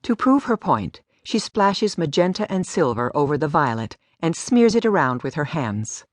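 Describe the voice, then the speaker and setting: A woman's voice: deep voice, sing-song, normal speed, no echo, clean studio sound. A woman giving a scripted story narration as an audiobook narrator. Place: dry studio.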